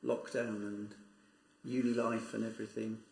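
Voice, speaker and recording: Gravelly-voiced male interviewer. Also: lowish voice, little pitch movement, moderate pace, darkish tone, roomy sound. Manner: encouraging, with frequent backchannel murmurs.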